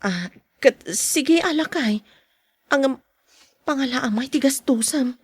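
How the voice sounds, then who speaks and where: A woman playing a husky, high-voiced caring mother. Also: animated, slow, no echo, heavily acted. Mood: concerned. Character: caring wife and mother, anxious about money, soft-spoken. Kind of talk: podcast drama dialogue.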